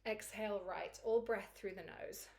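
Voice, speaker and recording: A woman with a croaky, recovering voice teaching a Kundalini yoga routine in a chatty, encouraging way. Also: mid-range voice, moderate pace, a little mellow. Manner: chatty and encouraging, laughs easily. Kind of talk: guided yoga class instruction.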